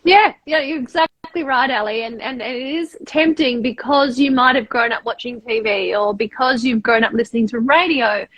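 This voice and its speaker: Podcast host: woman with a broad Australian accent, high husky voice, dry sound. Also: moderate pace, few pauses, fairly bright tone, dry recording, rough recording. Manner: emphatic, often tags "right?". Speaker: podcast host, media coach. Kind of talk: casual podcast chat.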